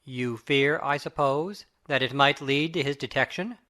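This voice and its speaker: A man playing a grave, probing middle-aged Victorian lawyer in an audiobook drama, with measured, formal speech. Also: mid-range voice, sharp tone. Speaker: audiobook cast reader. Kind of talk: dramatic reading of Victorian fiction.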